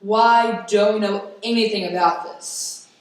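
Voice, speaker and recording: A woman's voice: mid-range voice, steady tone, measured pace, lightly acted. Woman as a curious boy, very echoey. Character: laid-back, curious boy, son of secret spies. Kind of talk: radio play acting. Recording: very echoey, distant mic, faint noise.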